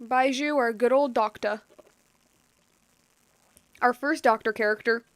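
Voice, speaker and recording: A woman's voice: on the high side, unhurried, no echo. A woman streaming a game with casual, chatty, slangy live commentary and playful asides. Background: game music.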